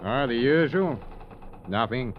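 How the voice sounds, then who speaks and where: An actor playing a reserved, decent rancher stranded at sea in 1950s radio drama style, with a halting, understated delivery. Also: male voice, medium pitch, lively intonation. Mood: subdued. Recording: dull, noisy vintage radio recording.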